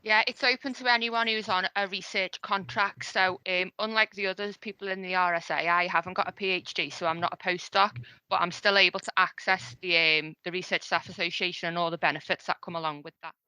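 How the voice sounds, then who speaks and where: Woman on a podcast, bright crisp voice, friendly and plain, dry close sound. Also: lowish voice, fairly even intonation, brisk, no echo, low-quality recording. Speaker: research project staff. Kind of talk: podcast panel discussion.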